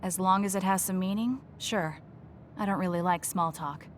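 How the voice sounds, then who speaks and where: A woman voicing a composed, blunt young female soldier in an anime game dub, measured and reserved. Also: lowish voice, a little hiss or hum, lightly acted. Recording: music playing behind the voice. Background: game background music.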